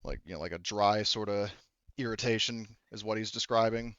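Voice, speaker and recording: A man narrating a tabletop roleplaying game as game master in a halting way, speaking for characters in his own voice. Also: fairly low pitch, fairly bright tone, clean tone, no room sound, highs cut off.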